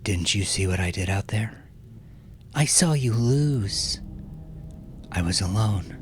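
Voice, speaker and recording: A man voicing a determined, gentle-spoken woman detective held captive in an audiobook, earnest and pressing. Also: fairly low pitch, expressive, slow, slight background noise. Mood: urgent, persuading.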